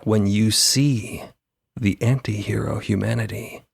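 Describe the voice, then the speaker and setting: A low, very slow, grave narrator of a dark comic-book tale, performed by a man. The delivery is portentous and accusing, each phrase set down with deliberate weight. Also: fairly even intonation, creaky, husky, no room sound, studio quality, exaggerated voice. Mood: ominous, scornful. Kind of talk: dramatic scripted narration.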